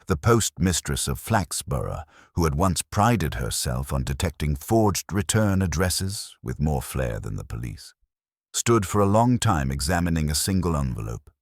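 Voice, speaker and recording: Very deep-voiced male storyteller. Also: dry recording, clean studio sound. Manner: measured, literary storytelling. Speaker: narrator. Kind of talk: scripted audio mystery story narration.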